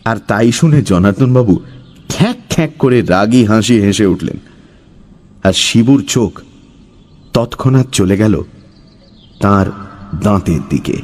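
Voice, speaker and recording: A man telling a story as a dramatic, scripted narration in a husky voice. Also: mid-range voice, darkish tone.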